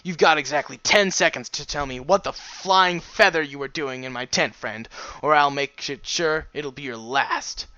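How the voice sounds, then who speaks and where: A man's voice: a little high, lively intonation, takes it slowly, long pauses, fairly bright tone, no room sound, highs cut off, voice pushed up. A man voicing a fierce, suspicious griffin mercenary with a growling, threatening delivery in a storybook reading. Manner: threatening, with an accent remarked on.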